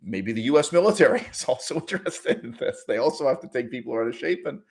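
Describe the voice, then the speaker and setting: A man with a mid-pitched voice delivers argumentative commentary as a YouTuber. He is emphatic and sardonic, leaning on repetition for weight. He often frames his points as rhetorical questions. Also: lively intonation, quick pace. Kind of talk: argumentative commentary with read-out quotes.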